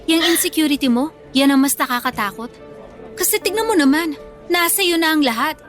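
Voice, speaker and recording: A high-pitched young Filipina student played by a woman in a radio drama. She is wronged and vengeful, throwing out scornful taunts with emphatic, confrontational force. Faint music sits behind her. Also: brisk, lightly acted. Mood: scornful, righteous.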